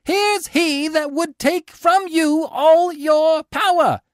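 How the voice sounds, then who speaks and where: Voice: mid-range voice, lively intonation, normal speed, no room sound, clean studio sound, pitched above the performer's own voice, close to an everyday voice. A reader voicing an angry Roman tribune in a theatrical Shakespeare read-aloud, declamatory and accusing. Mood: rousing.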